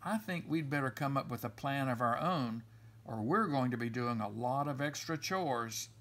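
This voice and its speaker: A man's voice: medium pitch, expressive, moderate pace, a bit bright. A man voicing a scheming young boy bear cub from a children's storybook.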